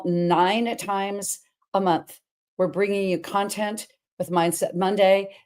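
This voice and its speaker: A middle-aged woman with a big, full voice hosts her own show in an energetic, emphatic way. She talks freely and wanders from one point to another, with a pitch that sits right in the middle for a woman. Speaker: host. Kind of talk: personal talk-show monologue.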